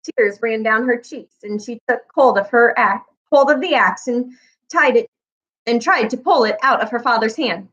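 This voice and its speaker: A woman's voice: on the high side, normal speed, fairly bright tone, highs cut off. A woman reading aloud to children in a storybook narration style. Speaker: read-aloud reader.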